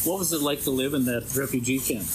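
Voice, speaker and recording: A man's voice: mid-range voice, fast talker, noisy background. A man interviewing a guest, asking direct, probing questions.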